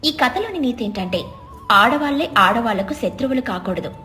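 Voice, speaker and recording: A woman's voice: a little high, animated, moderate pace, pushed and bright, soft background music. A woman reading a scripted moral story as a storyteller. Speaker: story narrator. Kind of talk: scripted narration of moral stories.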